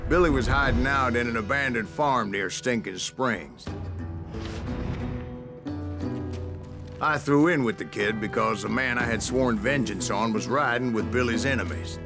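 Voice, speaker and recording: An actor playing an old gunslinger in a Western video game, spinning tall tales in folksy frontier slang. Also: male voice, on the low side, vocal fry. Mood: wry, measured. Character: old male Wild West gunslinger telling his tall tales. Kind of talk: game voice acting, narrated yarn. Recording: music under the voice.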